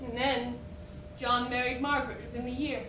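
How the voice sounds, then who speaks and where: A woman's voice: fairly high pitch, flat delivery, moderate pace, close to an everyday voice. A woman playing the bitter, jealous, impulsive inner self of a society wife, blunt and unrestrained in a theatrical style. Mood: scheming. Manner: blunt, vehement, emotionally unrestrained. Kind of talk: theatrical stage acting. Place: indoor theatre stage, picked up from afar. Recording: roomy, distant stage recording with noise.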